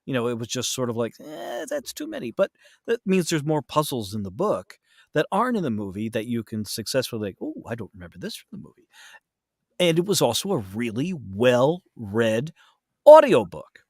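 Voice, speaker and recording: A man in a casual podcast conversation, explaining things and giving his opinions in an animated way. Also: medium pitch, normal speed, dry recording, studio quality. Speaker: podcast guest, voice actor.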